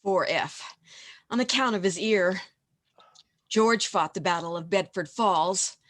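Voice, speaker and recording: Woman as knowing narrator, medium-pitched. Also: slow pace, a bit bright. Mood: solemn storytelling. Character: knowing heavenly senior angel narrating to Clarence. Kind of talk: scripted radio play narration. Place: home, over video call.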